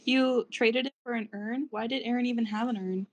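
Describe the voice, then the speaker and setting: High-pitched wry young partygoer played by a woman. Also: steady tone, brisk, lo-fi sound, natural voice. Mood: amused. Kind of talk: table read of comedy film script.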